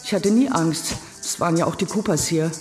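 A woman's voice: on the low side, brisk, lightly acted. A woman playing a reserved, independent-minded painter giving testimony in a radio crime drama, precise and matter-of-fact. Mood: reserved, uneasy. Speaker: actor. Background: music.

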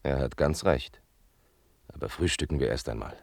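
A genial older wheelwright in a radio drama. The voice is rumbling deep and raspy, easygoing and slow to fuss, recorded very dry. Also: male voice, no room sound, full character voice. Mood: genial, knowing. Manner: unhurried, avuncular. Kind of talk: German radio play acting.

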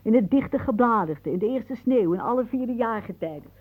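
An actress playing a whimsical, dreamy elderly aunt in a radio play, musing theatrically and drifting into hushed asides. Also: female voice, on the high side, expressive, brisk, narrow, tinny audio. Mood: wry, reminiscing.

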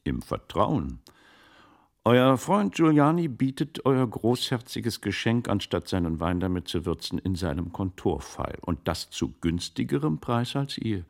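Polite shrewd gentleman in an old italy radio play, low voice, formal and measured. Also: sing-song, gravelly, heavily acted. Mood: probing, sly. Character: shrewd, courteous questioner probing a merchant in old Italy. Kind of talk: German radio play acting.

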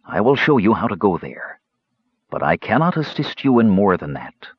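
A dignified, unhurried cat lord, as a male narrator gives him voice, low and grave with a husky edge. The storybook recording is dry and lo-fi. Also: very animated, dry recording. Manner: measured and grave.

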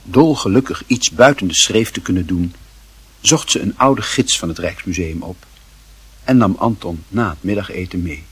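A man narrating a literary radio play as a wry storyteller with a husky voice. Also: low-pitched, moderate pace. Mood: measured, wry.

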